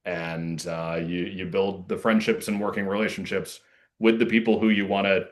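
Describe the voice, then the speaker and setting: A man speaking casually as a playwright and actor being interviewed, earnest, with halting phrasing and frequent use of "like". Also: low-pitched, fairly even intonation.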